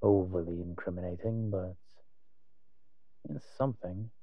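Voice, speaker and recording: Give the part to a low-voiced vampire played by a man, wry and watchful. He talks slowly and deliberately, as if thinking aloud in an intimate audio roleplay. The sound is dry and close. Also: no room sound, phone-quality sound. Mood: wry, musing. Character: wry, cautious male vampire father investigating a cabin. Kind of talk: scripted audio roleplay acting addressed to the listener.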